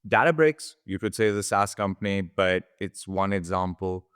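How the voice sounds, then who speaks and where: A man co-hosting a conversational business podcast, explaining in a measured way and posing questions. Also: low-pitched, expressive, a bit bright, smooth, no echo, clean studio sound. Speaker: podcast co-host.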